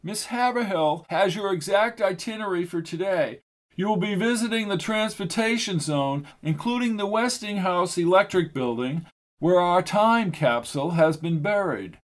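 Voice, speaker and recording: A man acting out a stiff, commanding male official showing guests around a world's fair in an audiobook. He speaks crisply and formally in a raised, higher register, every word precise and clipped. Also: animated, normal speed.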